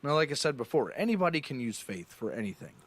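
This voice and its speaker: A man calling in, arguing step by step in a steady, methodical way. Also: medium pitch, fast. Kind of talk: reasoned argument in a played clip.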